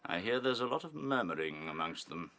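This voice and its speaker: Forceful, sardonic rabbit commander. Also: male voice, sits low, sing-song, brisk, slight background noise, heavily acted. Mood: suspicious. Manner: clipped, condescending, authoritative. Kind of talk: radio play acting.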